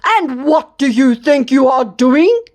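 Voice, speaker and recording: A woman's voice: high-pitched, animated, takes it slowly, a little mellow. A woman voicing a stern male woodcutter in a children's story, scolding in a firm way.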